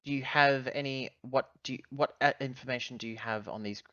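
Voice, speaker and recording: Mid-pitched man, dry dull call audio. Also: steady tone, fairly quick, a bit bright, no echo. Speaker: tabletop game player. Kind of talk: casual in-character tabletop role-play. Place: online voice call.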